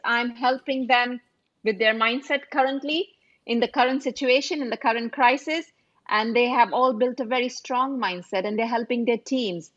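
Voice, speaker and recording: A woman in her forties from the United Kingdom, a business coach talking on a video call from her phone, speaking haltingly and repeating phrases. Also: a little high, fairly bright tone. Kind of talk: casual chat on a video call. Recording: muffled phone audio.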